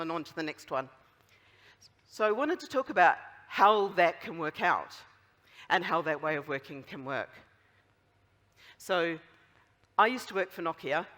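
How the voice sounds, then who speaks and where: Brief: New Zealand-accented male conference speaker, high bright voice, wry and chatty, long hall echo. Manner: chatty, wry humour, many fillers. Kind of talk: conference closing talk.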